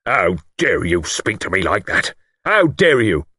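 A man's voice: fairly low pitch, animated, normal speed, a bit bright, breathy edge, no echo, studio quality. A man voicing a pompous, easily outraged older English lord in an audiobook, spluttering with indignation and self-importance. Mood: furious.

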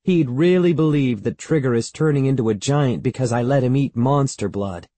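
A man's voice: fairly low pitch, takes it slowly, darkish tone, husky, dry recording. A man voicing an anxious, impatient young boy with a snappy, wailing manner in a children's storybook. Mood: sarcastic. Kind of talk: children's audiobook character voice.

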